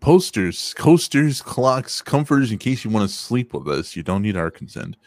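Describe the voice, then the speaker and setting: A young man co-hosting a casual podcast, with a deep voice that has no crackle, crude and teasing and quick to laugh. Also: very animated, no room sound. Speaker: podcast co-host. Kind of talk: casual chat.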